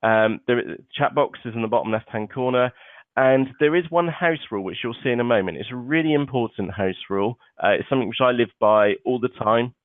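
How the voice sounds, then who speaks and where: A man's voice: medium pitch, fairly quick, no echo. A man hosting an online seminar, chatty and casual, often saying "okay" between points. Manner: chatty, peppers talk with "okay" and "uh". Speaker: webinar host. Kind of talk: live online seminar walkthrough. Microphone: web conference microphone. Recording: tinny phone-like web call audio.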